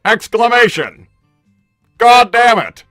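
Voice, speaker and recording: A man's voice: extremely high pitch, animated, takes it slowly, bright, forceful tone, faint music behind, heavily acted. A man voicing a stubborn combat robot with stilted, emphatic declarations, in improvised cartoon roleplay. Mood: stubborn, indignant. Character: blunt, stubborn combat robot, speaks in labelled statements.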